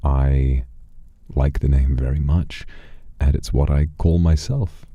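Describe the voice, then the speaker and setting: A man telling a first-person story to a livestream audience in a theatrical, deliberate way, with dramatic pauses. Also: extremely low pitch, fairly even intonation, warm tone, husky, no room sound, highs cut off.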